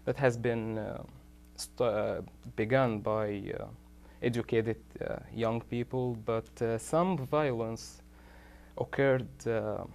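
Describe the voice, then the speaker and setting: A young man from Egypt, a student answering interview questions haltingly, full of pauses and fillers. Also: medium pitch, steady tone, vocal fry, darkish tone.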